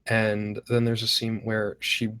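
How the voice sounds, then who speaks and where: A man's voice: lowish voice, monotone, a little slow, a bit bright, smooth. A man chatting casually and anecdotally as he gives his thoughts on a script. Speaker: script feedback panelist.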